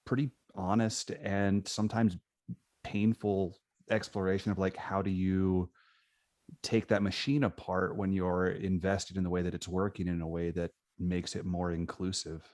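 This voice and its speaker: A man in a thoughtful discussion, speaking haltingly and tentatively as he searches for words. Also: deep voice, flat delivery, darkish tone, dry recording, clean studio sound.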